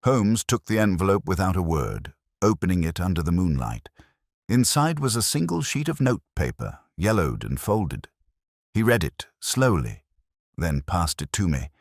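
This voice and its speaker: A man reading scripted audiobook narration of a Victorian detective story in a measured, formal, dramatic manner. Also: deep voice, sing-song, creaky, no echo, studio quality.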